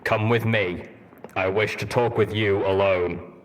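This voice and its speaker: A man playing a stoic, gruff armored ghoul stallion soldier, speaking in a measured, blunt, formal way in an audiobook story. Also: lowish voice, steady tone, natural voice.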